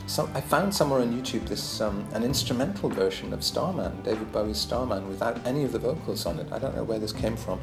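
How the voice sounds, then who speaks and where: A man's voice: mid-range voice, fast talker, creaky, hardly any pauses, reverberant, over music. A man lecturing on his own album in a casual, musing way, hesitant, with many ums and restarts.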